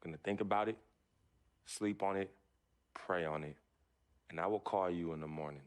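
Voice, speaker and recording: A man's voice: low-pitched, normal speed, clear, resonant tone, lightly acted. A man playing a young, loyal basketball prospect in a naturalistic game cutscene, speaking casually with some hesitation. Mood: calm, respectful.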